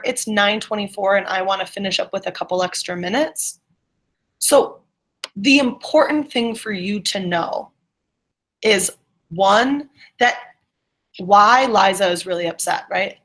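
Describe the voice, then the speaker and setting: A woman's voice: mid-range voice, fairly bright tone. A woman teacher leading an interactive online class, encouraging students and checking their understanding with questions. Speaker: middle school English teacher.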